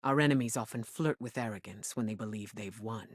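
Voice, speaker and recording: A woman playing a troubled scholarly commander in a video game, speaking in a grave, measured, introspective manner. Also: rumbling low, no room sound, studio quality. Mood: burdened, resolute. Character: troubled, guilt-ridden senior commander and scholar giving orders. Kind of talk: dramatic video game dialogue.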